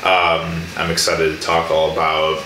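A man's voice: a bass voice, completely flat delivery, a little slow, bright, forceful tone, echoey room, slight background noise, low-quality recording. A young man hosting a podcast interview, rambling casually with lots of fillers. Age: young adult. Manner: rambling, many likes and fillers. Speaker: podcast host.

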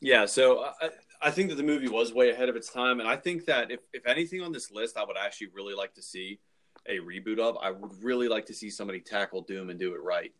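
A man's voice: on the low side, fast talker, fairly bright tone. A man co-hosting a podcast, talking casually and emphatically, with informal, opinionated phrasing. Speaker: podcast co-host.

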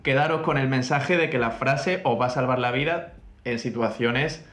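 Jokey guy doing deadpan asides, roomy echo around him. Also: medium pitch, moderate pace. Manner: playful, deadpan jokes, mock Portuguese phrases. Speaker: co-host. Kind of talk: joking casual chat.

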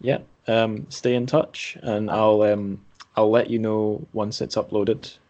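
A man's voice: on the low side, flat delivery, slow pace, dark tone, no echo, muffled recording. A man hosting a podcast, speaking in conversation or reading aloud. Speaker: podcast host.

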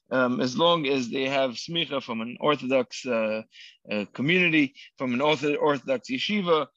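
A man's voice: medium pitch, fairly bright tone, dry recording. A man whose first language is Hebrew, a rabbi answering interview questions in English with many fillers and restarts. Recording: dull lo-fi dry sound.

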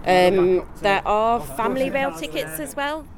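A woman working for the railway helpfully runs through ticket and railcard options, one after another, with the odd filler. A crowd can be heard clearly behind her. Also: fairly high pitch, expressive, measured pace. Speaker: railway staff member. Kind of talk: informal explanation.

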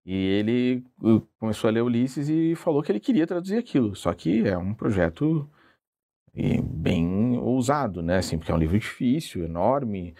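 A man's voice: mid-range voice, very animated, moderate pace, dark tone. A man, a translator telling his story in an interview, hesitant and self-deprecating with many restarts. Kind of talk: relaxed conversational storytelling.

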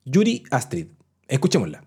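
A man's voice: mid-range voice, wildly swooping pitch, moderate pace, a little mellow, slightly rough. A Chilean man hosting a podcast in a chatty, casual way, often restarting his phrases as he introduces listener messages. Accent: Chilean Spanish. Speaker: podcast host.

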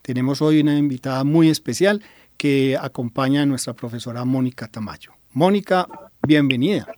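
A man's voice: mid-range voice, normal speed, a little mellow, no echo, clean studio sound. A man hosting a radio program, presenting to listeners and guests. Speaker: radio host. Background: music.

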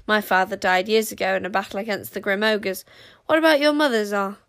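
A woman voicing an eager, excitable young girl who talks in a breathless rush. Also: medium pitch, brisk, no room sound, studio quality, close to an everyday voice.

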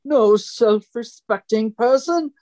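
A pompous radio-play detective, acted by a woman, speaks in a fairly high voice. The delivery is very slow and declamatory, quick to accuse and fond of lecturing, in the manner of a comic murder mystery. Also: a little mellow. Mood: smug, accusing.

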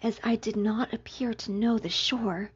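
A woman reading a gothic novel aloud as scripted narration, in a very breathy, whispery voice with measured pauses between phrases. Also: on the high side, fairly even intonation, muffled recording. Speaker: audiobook reader, podcast host.

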